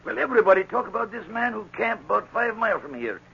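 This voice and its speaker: An actor playing a friendly, hospitable old trader with a French accent, in 1940s radio drama style. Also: male voice, sits high, very animated, quick pace, creaky, fairly bright tone, slightly rough, exaggerated voice. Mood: chatty. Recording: dull, noisy 1940s radio recording.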